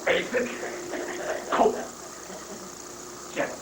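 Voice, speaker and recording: A performer in a live play reading playing a blustering, cynical political aide who speaks emphatically and deliberately. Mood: boastful. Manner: deliberate, emphatic, halting lists. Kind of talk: dramatic play reading before audience. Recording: echoey, distant, noisy 1980s live recording.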